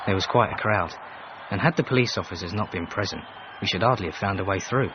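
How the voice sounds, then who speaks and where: An actor playing a reserved Edwardian manservant in a radio drama, reading out his letters in a formal, measured, dryly disapproving way. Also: sits low, natural voice. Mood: measured, wry. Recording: dull band-limited radio, faint noise.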